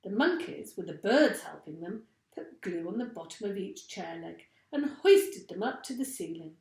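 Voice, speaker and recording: A woman teacher reading a children's story aloud with expressive narration. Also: medium pitch, normal speed, vocal fry. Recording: echoey room.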